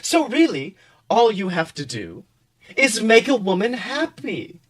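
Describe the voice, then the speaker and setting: Give the voice of a suave, smirking host club leader, acted out by a man reading fan fiction. He is a young male charmer with a high voice, speaking smoothly and theatrically with dramatic pauses. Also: huge pitch swings, exaggerated voice. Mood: charming.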